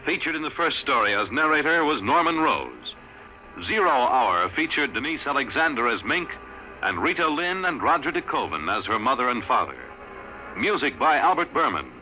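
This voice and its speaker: A man with an American accent formally reading credits as a 1950s radio announcer, with measured enunciation. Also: medium pitch, sing-song. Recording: dull, faintly noisy old radio recording.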